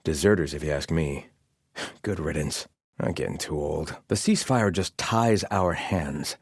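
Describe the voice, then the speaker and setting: A man's voice: very deep voice, measured pace, husky, dry recording, clean studio sound, exaggerated voice. A man playing a wary, devout soldier of a sun-worshipping army in a video game, speaking sternly in formal, clipped phrases. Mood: wary, discontented. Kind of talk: scripted video game voice lines.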